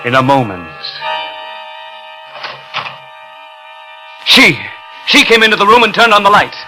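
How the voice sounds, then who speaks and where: An actor playing a nervous, obsessive impostor in 1950s radio suspense, with a halting, intense delivery. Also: male voice, high-pitched, sing-song, bright, forceful tone, exaggerated voice. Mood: tense, gloating. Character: nervous, obsessive male impostor and would-be thief. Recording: vintage 1950s radio recording, music behind.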